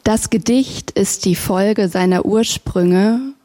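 A woman poet introducing herself and reading her poem aloud in a slow, measured, gently hesitant way at a live reading. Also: medium pitch. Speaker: poet and facilitator.

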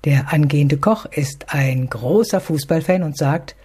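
A man's voice: fairly high pitch, a little slow, a little mellow, slightly rough. A man narrating a short documentary report and interviewing a guest with short encouraging prompts.

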